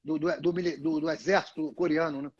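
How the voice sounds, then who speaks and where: A man's voice: on the high side, steady tone, brisk, husky. An older man from Brazil, telling long stories in a casual live interview, full of restarts and "né?" tags, speaking into a phone on a tripod. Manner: rambling storyteller, many restarts and "né?" tags. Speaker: guest, retired fighter. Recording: dull lo-fi phone audio.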